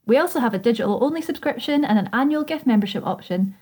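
Wry female podcast co-host, slightly high voice, reads promo copy with a teasing edge. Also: animated, fast talker, darkish tone, breathy edge. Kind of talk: reading promo copy with jokey chat.

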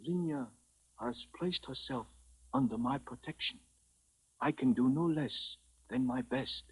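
An actor playing a cautious old Burmese temple priest, speaking gravely and formally in a 1950s radio drama. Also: male voice, mid-range voice, a little mellow, poor audio. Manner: grave, formal, measured, cryptic. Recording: vintage 1950s radio broadcast.

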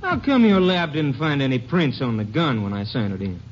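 A man's voice: medium pitch, sing-song, normal speed, natural voice. An actor playing a defensive local lawman in a 1950s radio drama, answering in a curt, matter-of-fact way. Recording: dull old radio recording with faint hiss.

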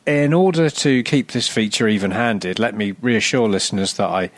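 A man's voice: on the low side, moderate pace, no room sound. A man reading a scripted comic narration in a dry, mock-serious manner, prone to grand overstatement. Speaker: writer and reader of a comic A to Z segment.

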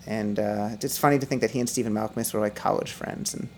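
Middle-aged male musician guest, high whiny gravelly voice, rambling and self-deprecating, faint background noise. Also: fairly even intonation, fast. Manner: rambling, many restarts and fillers, laughs often. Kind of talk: casual podcast conversation.

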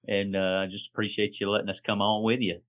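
A man's voice: lowish voice, flat delivery, fast talker, fairly bright tone. A man with a Southern American accent, chatting casually as a guest on a podcast. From Southern United States. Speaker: podcast guest, foster and adoptive father. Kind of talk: casual chat.

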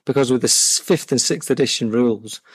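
A man's voice: mid-range voice, a little fast, husky, no echo. A man talking casually as a guest in an interview about his collection. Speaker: podcast guest, game collector.

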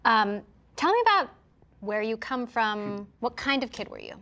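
A woman hosting a broadcast interview, introducing her guest and asking crisp, polished questions. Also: fairly high pitch, very animated, moderate pace, fairly bright tone. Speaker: interview host.

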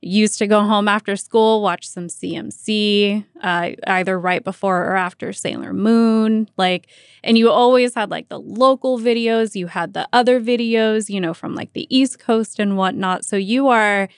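A woman's voice: medium pitch, measured pace, clear, resonant tone, no room sound, clean studio sound. An American woman from the San Francisco Bay Area speaking as the host of a radio podcast. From San Francisco Bay Area, United States. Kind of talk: scripted narration and interview.

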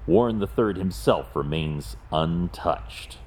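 A man playing a brooding, wealthy vigilante detective in an audio drama, grave and deliberate in his delivery. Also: low-pitched, animated, vocal fry, darkish tone, slight background noise, full character voice. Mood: grim, factual.

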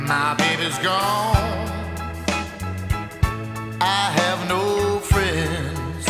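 A woman's voice: lowish voice, extremely slow, loud music behind the voice. A woman sings a sentimental country Christmas song, in an echoey recording over a musical backing.

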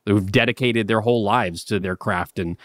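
A man's voice: on the low side, normal speed, dry recording, clean studio sound. A young man co-hosting a podcast, talking earnestly and rambling with stammered restarts. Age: young adult. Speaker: podcast co-host. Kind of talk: casual podcast banter.

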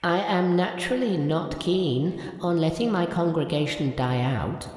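A man's voice: on the high side, unhurried, darkish tone, breathy edge, pitched above the performer's own voice. A man voicing a cocky male monkey king who pretends to be a god, speaking in a grand, mock-solemn way. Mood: pompous.

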